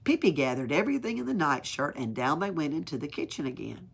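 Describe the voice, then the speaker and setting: A woman with a husky voice reads a children's story aloud in a dramatic, emphatic way. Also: deep voice, very animated, fast. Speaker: library story reader. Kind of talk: expressive storybook read-aloud.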